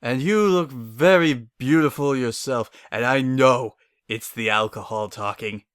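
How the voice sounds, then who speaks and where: A man's voice: medium pitch, unhurried, fairly bright tone, clear, resonant tone, dry recording, studio quality, close to an everyday voice. A man voicing a smug, cheeky, tipsy aristocratic stallion in a dramatic story reading. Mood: smug, flirty. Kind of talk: dramatic fan fiction reading.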